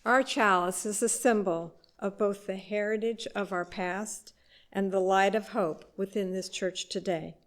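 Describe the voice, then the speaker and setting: A woman's voice: mid-range voice, fairly even intonation, measured pace. A woman worship leader giving a scripted liturgical address to a congregation.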